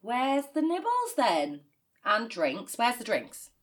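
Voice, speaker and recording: A woman's voice: fairly high pitch, wildly swooping pitch, takes it slowly, full character voice. An actress playing a cynical, grieving woman, in a naturalistic audio drama. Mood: wry. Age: 30s. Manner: sardonic, exasperated. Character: cynical, grieving 37-year-old woman working as an elf.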